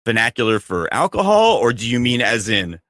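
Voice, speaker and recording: A man's voice: medium pitch, sing-song, fairly quick, fairly bright tone, no echo, studio quality. A man co-hosting a podcast in casual, joking banter. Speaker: podcast co-host.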